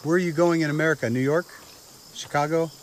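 A man doing on-the-ground reporting at night outside, firing off short blunt questions. His voice sits a little high. A faint hiss and insects chirp around him in the open air. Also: fairly even intonation, fast. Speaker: field reporter. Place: outdoors at night.

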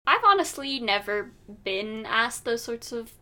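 A woman's voice: on the high side, very animated, unhurried, bright, forceful tone. A young woman with a childlike voice, chatting as an interview guest and rambling with lots of "like". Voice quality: youthful, childlike voice. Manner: rambling, heavy use of "like". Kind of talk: casual conversation.

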